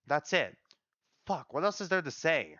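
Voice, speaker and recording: A man acts out an angry, grieving story character, blunt and exasperated. The voice is smooth and swings widely in pitch, recorded dry. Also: sing-song, normal speed, bright, forceful tone, dry recording, muffled recording. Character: bitter, angry, blunt mourner of a dead friend. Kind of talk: dramatic read-aloud of a story.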